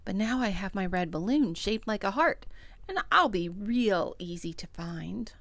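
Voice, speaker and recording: A woman's voice: mid-range voice, lively intonation, unhurried, dry recording. A woman voicing a small, anxious boy who longs for his daddy, told expressively as a children's storybook. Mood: hopeful, worried. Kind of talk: dramatic children's storybook narration in first person.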